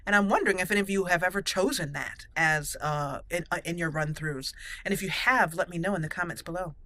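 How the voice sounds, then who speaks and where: A woman's voice: fairly low pitch, fast, pushed and bright. A woman doing casual roleplay game commentary, chatty and thinking aloud as she plays. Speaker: let's play host.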